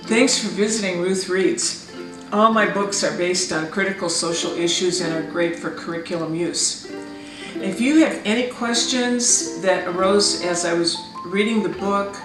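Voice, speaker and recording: A woman's voice: fairly low pitch, roomy sound, recorded from a distance, low-quality recording. Woman hosting a read-aloud channel. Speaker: author and read-aloud host. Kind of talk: scripted sign-off addressed to viewers.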